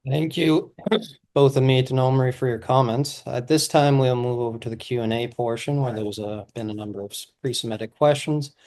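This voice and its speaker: A man's voice: mid-range voice, fairly even intonation, moderate pace, darkish tone. A man moderating investor questions on a call in a businesslike, semi-scripted way.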